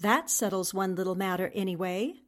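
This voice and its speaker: An actress playing a confident, skeptical woman newspaper reporter in a 1940s-style radio drama, brisk and matter-of-fact. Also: mid-range voice, a bit bright, close to an everyday voice. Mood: determined.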